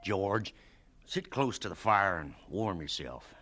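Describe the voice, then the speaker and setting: Blunt, folksy farmer, animated delivery. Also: male voice, deep voice, slow, exaggerated voice. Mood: earnest, confiding. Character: gruff, kindly Midwestern farmer and employer, blunt and persuasive. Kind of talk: radio drama acting.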